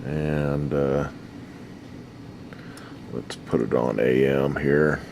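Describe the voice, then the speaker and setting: A middle-aged man, slightly hoarse, chatting haltingly with many fillers while he fixes an old radio. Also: a bass voice, fairly even intonation. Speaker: radio repair hobbyist. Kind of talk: casual workbench chat. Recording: noisy workshop recording.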